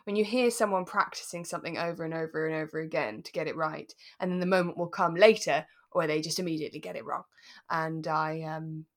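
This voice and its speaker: A woman's voice: deep voice, fairly even intonation, a little fast. A British woman co-hosting a podcast, chatting casually and with a giggly, self-deprecating manner as she recaps a drama. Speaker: podcast co-host.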